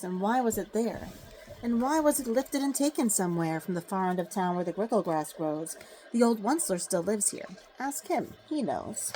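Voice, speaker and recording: A woman reading a rhyming children's storybook aloud, with dramatic, deliberate phrasing. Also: on the low side, warm tone, a little hiss or hum, poor audio. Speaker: storybook reader. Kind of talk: expressive children's storybook read-aloud in rhyme.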